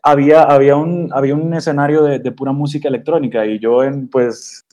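Mellow-voiced man telling his story, hesitant. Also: mid-range voice, fairly even intonation, brisk. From Honduras. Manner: hesitant, many fillers and restarts. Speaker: guest DJ and producer. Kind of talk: relaxed storytelling interview answers. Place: radio studio.

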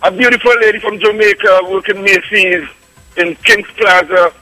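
A man's voice: high-pitched, steady tone, moderate pace, sharp tone, no room sound, soft background music, poor audio. A Trinidadian man in his fifties calling in to a radio show, talking with eager enthusiasm. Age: 50s. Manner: enthusiastic, keeps calling the host "boss". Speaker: caller. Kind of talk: phone-in radio testimonial.

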